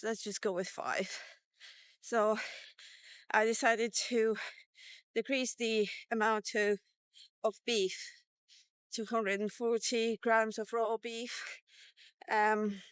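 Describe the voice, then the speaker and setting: A woman in her fifties records a casual diary vlog at a slow, unhurried pace. She talks in a halting, reflective way, thinking things through as she goes. The sound is dry and close, with very little room around it. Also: medium pitch, no room sound. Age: 50s. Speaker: vlogger.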